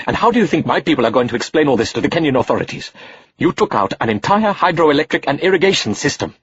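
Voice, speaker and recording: A man voicing a furious adult male agent, a pilot who shouts over the noise of a plane. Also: medium pitch, animated, brisk, dull audio, pitched above the performer's own voice, close to an everyday voice. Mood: angry.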